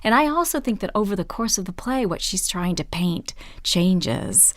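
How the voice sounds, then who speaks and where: A woman's voice: medium pitch, lively intonation, moderate pace, no room sound, clean studio sound. A woman theatre guest thinking aloud in a casual panel discussion.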